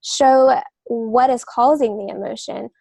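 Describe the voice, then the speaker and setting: A woman's voice: a little high, a little slow, creaky, warm tone, no room sound. A woman co-hosting a writing podcast, explaining craft points in an earnest, wordy, conversational way. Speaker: writing podcast co-host and novelist. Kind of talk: casual instructional discussion.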